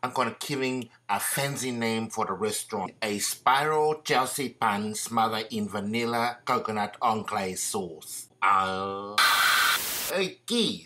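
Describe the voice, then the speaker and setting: A man's voice: medium pitch, bright, forceful tone, slight background noise. A man playing a bumbling island uncle who pretends to be a TV chef, speaking broken, hesitant English in a comedy sketch.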